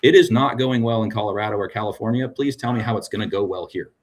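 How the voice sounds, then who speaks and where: A man with a very deep, husky voice talks frankly in a relaxed podcast interview. He keeps checking in with his listener, tagging points with a quick "right?". His tone is low and breathy. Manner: frank, tag questions with "right?". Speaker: cannabis business guest.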